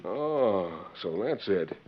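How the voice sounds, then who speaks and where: A muffled old radio western. a menacing outlaw boss with a lowish voice. He taunts and sneers in a rough, folksy cowboy drawl. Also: big pitch swings, takes it slowly, exaggerated voice. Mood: sneering, threatening. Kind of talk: 1940s radio western acting. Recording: muffled 1940s radio recording.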